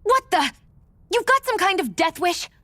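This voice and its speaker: A woman's voice: extremely high pitch, sing-song, normal speed, fairly bright tone, dry recording, exaggerated voice. An actress playing a proud, defiant young woman fugitive in a video game, haughty and curt. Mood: sharp, defiant.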